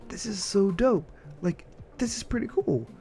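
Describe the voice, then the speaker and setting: A man hosting a podcast in casual chat, telling things in an animated, rambling way and acting out imagined reactions. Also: sits high, a little slow, gravelly. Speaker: podcast host. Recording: background music under the voice. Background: music.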